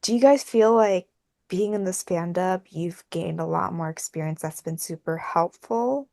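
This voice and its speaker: A woman's voice: lowish voice, normal speed, creaky, a little mellow, no echo, clean studio sound. A woman hosting a casual podcast chat, talking in a wordy, meandering way peppered with filler phrases. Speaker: podcast host and fandub team member.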